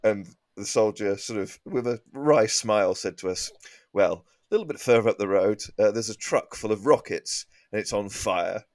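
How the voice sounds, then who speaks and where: A man's voice: on the low side, moderate pace, no room sound. A man, a comedian guesting on a panel, telling comic anecdotes in a dry, understated, hesitant way.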